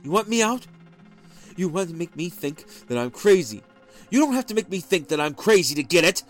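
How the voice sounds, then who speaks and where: A volatile husband with an explosive temper, played by a male narrator, his fairly high voice rising and falling as he accuses. Soft music sits faintly behind him. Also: animated, fairly quick. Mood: raging. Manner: forceful, accusing.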